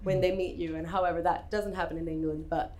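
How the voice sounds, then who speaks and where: A woman's voice: on the low side, level tone, quick pace, darkish tone. A woman from Puerto Rico, a guest at a live talk, chatting to the audience in a wry, joking way. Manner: wry, joking and self-deprecating. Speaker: panel guest.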